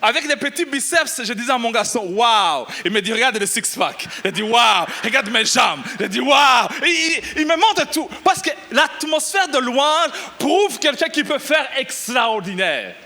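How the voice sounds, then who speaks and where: A middle-aged pastor from Burundi preaching a lively sermon, exclaiming and shouting at the peaks. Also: male voice, very high-pitched, measured pace, hardly any pauses. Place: church.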